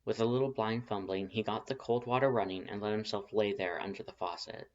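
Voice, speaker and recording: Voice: medium pitch, fairly quick, lo-fi sound, a little hiss or hum. A narrator reading fan fiction aloud as scripted storytelling.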